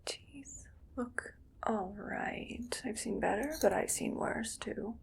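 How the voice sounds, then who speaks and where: A woman playing a musing, matter-of-fact mad scientist in an ASMR medical roleplay, murmuring in a breathy whisper with creaky edges. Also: mid-range voice. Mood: coolly clinical. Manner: unhurried, murmuring to self, clinically detached. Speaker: ASMR performer.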